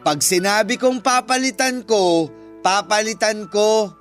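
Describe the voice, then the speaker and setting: A man's voice: sits high, unhurried, a bit bright. A man with a Filipino accent playing a defensive, evasive boyfriend in a radio drama. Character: defensive, evasive boyfriend caught stealing savings. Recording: music under the voice. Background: background music.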